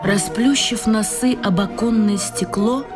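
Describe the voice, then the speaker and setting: A woman's voice: medium pitch, measured pace. A woman reading scripted narration in a radio play. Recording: loud music under the voice. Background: loud music.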